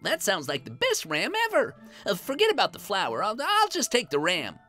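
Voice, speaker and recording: A woman's voice: mid-range voice, wildly swooping pitch, fairly quick, a bit bright, faint music behind, pitched above the performer's own voice. A woman voicing a hot-headed, naive young boy in a children's folktale, exclaiming in an eager, whiny way.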